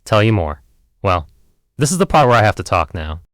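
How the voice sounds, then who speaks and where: Low male voice, a man acting, audio play, wry self-doubting therapy client, candid, rambling, hesitant, animated pitch. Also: a little fast, no echo, studio quality. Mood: self-conscious, reflective.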